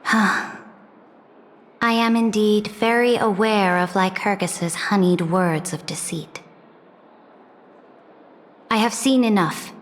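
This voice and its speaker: A woman voicing a regal, aloof female emperor in a video game, speaking in a formal, imperious, measured way. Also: mid-range voice, lightly acted. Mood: cool, imperious. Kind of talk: scripted game cutscene dialogue. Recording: long reverb over music.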